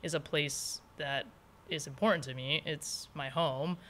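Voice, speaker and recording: A woman from Hawaii hosting a podcast, talking loudly and freely with plenty of opinions. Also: low-pitched, steady tone, unhurried. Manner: loud, opinionated, talkative. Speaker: podcast host. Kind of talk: casual chat.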